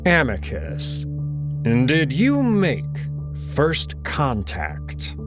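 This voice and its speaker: A man voicing a furious old wolf ruler in a visual novel, giving slow, forceful, menacing commands. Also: medium pitch, wildly swooping pitch, over music, voice pushed up, heavily acted. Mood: grave, menacing.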